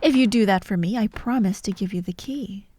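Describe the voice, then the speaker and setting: A woman's voice: medium pitch, a little fast, mellow, a little hiss or hum, natural voice. A woman playing a vengeful fired actress holding hostages in an ASMR audio drama, taunting and theatrical, often dropping to a whisper. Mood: sinister, taunting. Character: vengeful fired actress, sadistic hostage-taker directing victims.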